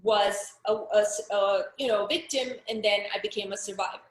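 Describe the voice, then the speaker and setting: A woman's voice: mid-range voice, steady tone, normal speed, echoey room, poor audio. A middle-aged woman from Pakistan, speaking frankly and earnestly as an interview guest, often restarting her sentences. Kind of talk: personal testimony in an interview.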